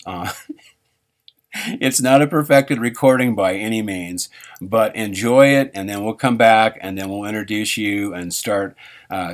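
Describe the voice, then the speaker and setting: A man with a fairly low voice hosts a live radio show and chats off the cuff. He stops and restarts, fixes his own slips, and breaks into small chuckles as he goes. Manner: halting, self-correcting, chuckles often. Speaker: radio host. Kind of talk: rambling live radio chat.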